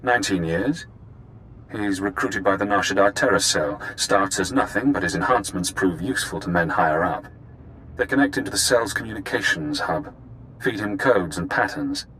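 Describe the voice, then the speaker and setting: An actor playing a sinister, calculating engineered prisoner in a sci-fi video game, cool and measured. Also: male voice, low-pitched, animated, sharp tone, a little hiss or hum, poor audio. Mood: clinical.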